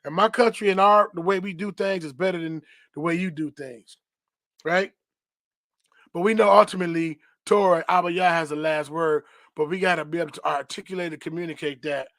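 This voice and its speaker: A man's voice: a little high, steady tone, fairly quick, fairly bright tone, dry recording. Preachy man teaching on a podcast. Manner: preachy, repetitive, rhetorical "right?" tags; reads dictionary definitions aloud. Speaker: podcast host.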